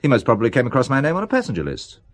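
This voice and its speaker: Middle-aged boss type in a radio thriller, dry sarcasm, very animated pitch, loyal and unworried. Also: male voice, very fast. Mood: calm, defensive. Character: wry, loyal businessman, dismissive of worries. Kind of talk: radio drama acting.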